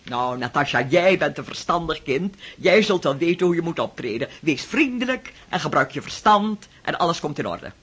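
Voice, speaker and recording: Radio play: a husky, domineering elderly aunt; high, lively delivery. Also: male voice, moderate pace, few pauses, exaggerated voice. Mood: gossipy. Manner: commanding, teasing, chatty. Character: bossy, brisk elderly Moscow aunt. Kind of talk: radio drama.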